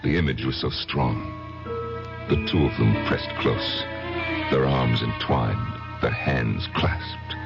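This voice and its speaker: An actor playing a haunted, tormented man who narrates a confession slowly and haltingly in a radio drama, with a gravelly voice. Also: heavily acted. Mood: brooding, intense. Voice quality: gravelly, very deep. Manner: slow, halting, brooding, dramatic. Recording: dull vintage radio recording, loud music.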